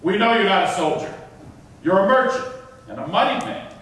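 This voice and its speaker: A man's voice: sits high, expressive, moderate pace, fairly bright tone. An actor playing a scornful, imperious governor in a classical verse stage reading, declaiming his commands. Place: stage of an auditorium, indoors. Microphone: distant from the audience area. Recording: echoey concert hall, far microphone.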